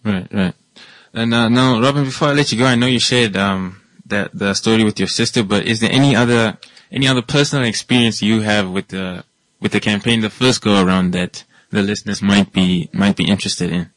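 Relaxed low-voiced male radio host. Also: fairly quick, few pauses, no room sound, rough recording. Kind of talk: live radio chat.

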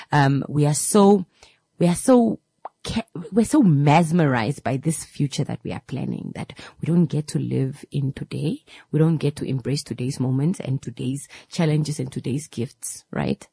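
A woman's voice: low voice, creaky, mellow, husky, no echo. A woman, hosting a reflective motivational talk and taking calls, often checking in with "right?". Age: 30s.

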